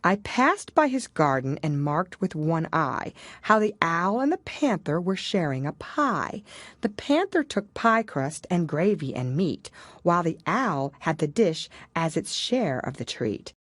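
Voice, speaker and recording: A woman's voice: lowish voice, very animated, unhurried, a bit bright, no room sound, full character voice. A woman voicing a timid, puzzled young girl in an audiobook, polite and careful as she speaks. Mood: timid reciting. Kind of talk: audiobook character voice.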